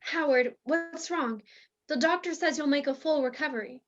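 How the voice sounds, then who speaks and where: Radio soap parody heroine played by a woman: high-pitched, melodramatic, besotted and secretive; muffled lo-fi sound. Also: normal speed, faint music behind, close to an everyday voice. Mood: tender. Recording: dull lo-fi recording.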